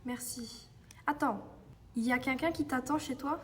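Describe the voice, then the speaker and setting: A woman's voice: high-pitched, moderate pace, far from the mic, a little hiss or hum, lightly acted. A female performer playing a chatty, apologetic thirteen-year-old girl survivor in a French audio drama. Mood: eager, apologetic.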